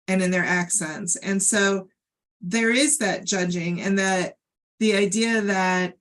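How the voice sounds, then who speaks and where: A woman with a General American accent co-hosts a conversational podcast. She speaks slowly at a middling pitch, laying out her points in a measured, explanatory manner. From United States, Ohio. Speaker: podcast co-host. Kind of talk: casual conversational podcast discussion.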